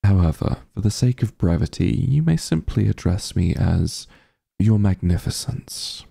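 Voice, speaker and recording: Man playing a dark, low-voiced evil king. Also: big pitch swings, gravelly, husky, heavily acted. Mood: offended, imperious. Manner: pompous, condescending, deliberate formal diction. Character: arrogant, menacing evil sorcerer king, haughty and imperious. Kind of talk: ASMR roleplay acting.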